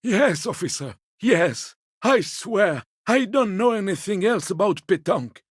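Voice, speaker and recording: Game role: a meek, courteous old man, husky and unhurried, with lilting, highly varied pitch. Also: lively intonation, no echo, studio quality, full character voice. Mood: apologetic, placating. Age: older. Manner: deferential, mild, apologetic. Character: elderly, meek, polite, evasive old man. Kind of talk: video game character dialogue.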